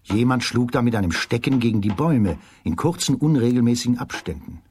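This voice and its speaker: A man's voice: medium pitch, lively intonation, a little fast, darkish tone, slight background noise. A man narrating a children's fairy tale as scripted storytelling in a radio play.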